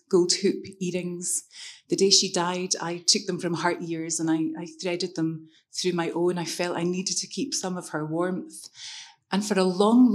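A woman's voice: fairly low pitch, a little mellow. A middle-aged Scottish woman on a panel, speaking thoughtfully into a handheld mic on a conference stage, with frequent ums. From Scotland. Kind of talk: reflective personal talk on stage.

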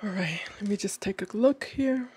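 A woman with a cold giving a calm tarot reading at a table indoors, slowing down as she names each card. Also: medium pitch, slightly rough. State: has a cold. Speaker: tarot reader.

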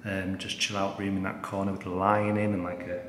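Male travel vlogger, bright forward voice, chatty and upbeat, casual delivery over faint background noise. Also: low-pitched, level tone, fairly quick. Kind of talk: casual vlog chat.

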